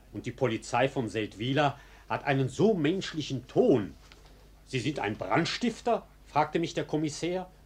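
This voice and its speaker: A man's voice: medium pitch, husky. An actor playing a sly male arsonist in a radio play who poses as a polite, ingratiating dinner guest. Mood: amused storytelling. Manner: ingratiating, mock-polite, insinuating. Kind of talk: German radio play acting.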